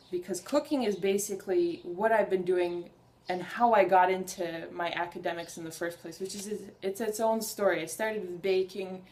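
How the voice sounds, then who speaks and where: A woman's voice: mid-range voice, a little mellow. A young woman talking to camera in a casual personal vlog, earnest and enthusiastic, rambling a little and restarting her sentences. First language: Hungarian. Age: young adult. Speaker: vlogger.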